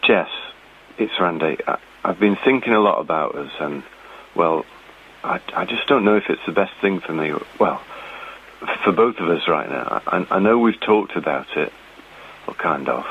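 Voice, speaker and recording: A hesitant, awkward boyfriend on an answering machine in a radio story. His voice is deep and falters as he repeats himself. It comes through a tinny phone line with faint hiss. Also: lively intonation, normal speed. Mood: hesitant, uneasy. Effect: telephone answering machine filter. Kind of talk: dramatic reading of answering machine messages.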